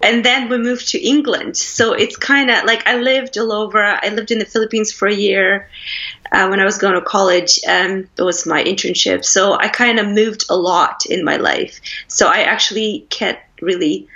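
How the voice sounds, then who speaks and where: A woman's voice: mid-range voice, normal speed, sharp tone, muffled recording. A woman from Russia, a food blogger guest in an interview, talking in a friendly, easy way and laughing often. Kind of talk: casual interview chat.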